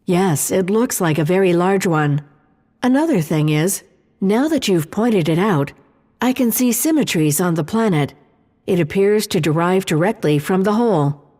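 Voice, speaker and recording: A woman playing a calm, precise ship computer in an audio drama, explaining things in a measured, formal manner. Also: lowish voice. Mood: measured, analytical. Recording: long reverberant hall-like echo.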